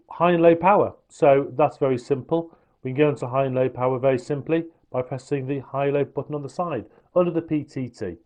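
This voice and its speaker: Man, medium pitch, informal product reviewer, step-by-step explaining, hands-on demonstration. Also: darkish tone.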